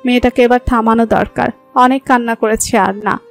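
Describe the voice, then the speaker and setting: A woman narrating a romantic story in a smooth, even storytelling voice. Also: a little high, brisk. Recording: soft background music.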